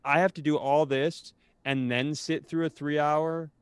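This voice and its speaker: A man's voice: fairly high pitch, steady tone, unhurried, smooth, dry recording. An American man bantering casually as a comedy podcast host, in a sardonic and exasperated manner.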